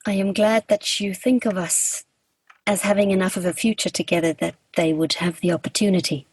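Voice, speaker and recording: A woman's voice: mid-range voice, steady tone, brisk, darkish tone, breathy edge, close to an everyday voice, low-quality recording. A woman acting in a radio play as an awkward, earnest female Grim Reaper who speaks in stiff, formal, literal phrases.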